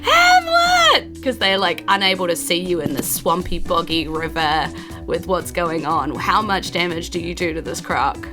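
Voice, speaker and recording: A woman's voice: on the low side, very animated, unhurried. A woman with a New Zealand accent narrating a tabletop game as game master, informal and encouraging. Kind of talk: improvised tabletop game narration and casual chat. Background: background music.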